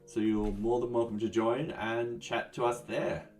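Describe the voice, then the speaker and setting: A man's voice: mid-range voice, flat delivery, measured pace, distant mic. A man hosting a casual live coding stream, answering chat in an easygoing way that often trails off. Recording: voice a bit off-mic, soft music behind.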